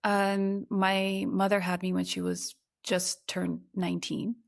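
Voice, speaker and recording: A woman's voice: mid-range voice, level tone, gravelly. Ukrainian-born woman, earnest and reflective. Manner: reflective, earnest, slows down on emotional points. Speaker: podcast guest, author. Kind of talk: personal storytelling in conversation.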